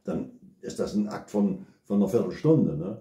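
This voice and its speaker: Older man, low dark voice, patient step-by-step explainer, recorded in an echoey living room. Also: normal speed. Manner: calm, step-by-step explaining with frequent tag "ne?". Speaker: bushcraft expert and father. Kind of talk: relaxed explanatory chat.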